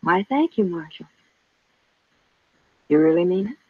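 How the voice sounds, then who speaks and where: A woman's voice: fairly low pitch, expressive, a little slow, slightly rough, no echo. Coy frontier girl on tinny old radio. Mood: coy, inviting. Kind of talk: 1950s radio western acting. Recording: tinny, narrow vintage radio recording.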